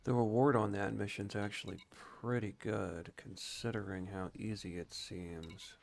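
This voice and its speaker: A man with a fairly low voice talks through a video game as a streamer would. His delivery is casual and explanatory, as he thinks aloud in an informal way. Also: fairly even intonation, unhurried, gravelly, a little hiss or hum. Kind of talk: casual gameplay commentary and explanation.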